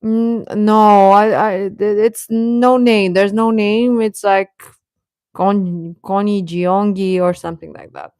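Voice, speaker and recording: A woman chatting casually as host of a live stream, rambling and halting, with a sarcastic streak. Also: mid-range voice, fairly even intonation, darkish tone, no room sound, clean studio sound. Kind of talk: casual live-stream chat about pop fandom.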